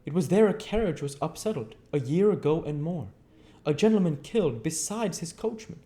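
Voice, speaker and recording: A man's voice: on the high side, lively intonation, moderate pace, mellow, voice pushed up. A man voicing a chatty, deferential middle-aged country landlady who rambles in folksy phrasing for a storybook reading.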